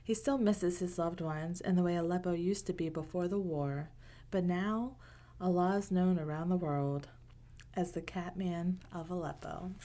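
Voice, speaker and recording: A woman reading a picture book aloud to children in a gentle, unhurried way, with casual asides. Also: on the low side, steady tone. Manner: gentle, unhurried, pausing between short phrases.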